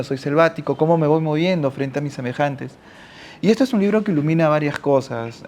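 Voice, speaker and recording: A man's voice: fairly high pitch, darkish tone, a little hiss or hum. A man from Peru with a Peruvian accent, speaking as a panelist at a literary talk and thinking aloud, often ending phrases with a questioning tag. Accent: Peruvian Spanish. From Ica, Peru. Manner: thinks aloud, adds frequent questioning tags.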